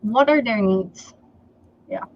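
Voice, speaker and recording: Slow, deliberate woman sales trainer. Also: on the high side, lo-fi sound, slight background noise. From Philippines. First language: Tagalog. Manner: slow and deliberate, many fillers like okay and um. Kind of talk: livestream interview, advice.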